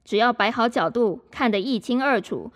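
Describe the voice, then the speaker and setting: An actress playing an informative presenter in a radio drama, explaining in a scripted, presentational way. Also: female voice, on the high side, lively intonation, moderate pace, lightly acted. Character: informative female presenter of hidden gadgets. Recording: long hall-like reverb.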